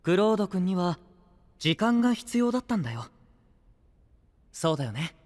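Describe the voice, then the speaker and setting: A man's voice: high voice, big pitch swings, moderate pace, a little mellow, in a large hall. An actor playing a kind, encouraging youthful male friend with a reassuring manner in an anime-style voice drama. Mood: warm encouragement.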